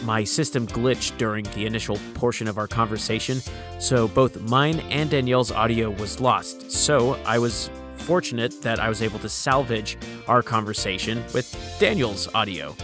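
Male podcast host, scripted VO, steady delivery, guitar bed underneath. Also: mid-range voice, moderate pace. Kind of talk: scripted narration.

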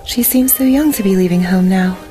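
A woman voicing a gentle, worried witch mother in an animated film fandub, patient and reassuring. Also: mid-range voice, a little fast, faint music behind, close to an everyday voice. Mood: resigned, worried.

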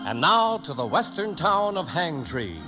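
A man's voice: on the high side, sing-song, unhurried. An American man announcing and narrating a western adventure in dramatic, scripted 1950s radio style. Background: prominent music.

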